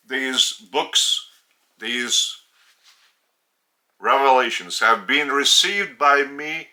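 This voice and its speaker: A man with a Lithuanian accent, a native Lithuanian speaker, giving a religious teaching talk in deliberate, halting short phrases. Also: mid-range voice, sharp tone. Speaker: spiritual teacher.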